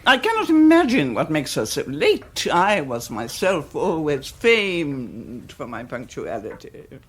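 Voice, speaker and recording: A woman's voice: low-pitched, wildly swooping pitch, measured pace, slight background noise, full character voice. An actress playing a pompous, self-satisfied older aristocratic lady with an upper-class English accent in a radio comedy drama. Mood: haughty. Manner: pompous, stately, commanding.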